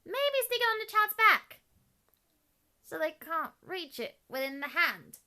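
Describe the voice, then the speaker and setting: A woman's voice: extremely high pitch, very animated, unhurried, sharp tone. A girlish female presenter giving a scripted promotional tour as a junior reporter, in a perky, exclamatory way.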